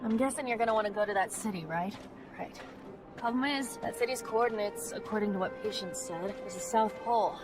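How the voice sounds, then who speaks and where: Wry female mechanic played by a woman, medium pitch, casual and salty, sci-fi game dialogue over clear music. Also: a little fast, close to an everyday voice. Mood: casual, doubtful. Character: gruff-talking, wry female rover mechanic. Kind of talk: video game cutscene acting.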